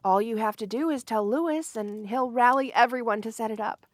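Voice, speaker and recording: A woman playing a shy, sweet, lovestruck young woman in an intimate ASMR romance roleplay, gentle and flustered, often hesitating mid-phrase. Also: fairly high pitch, moderate pace, no room sound, lightly acted. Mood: happy, reassuring.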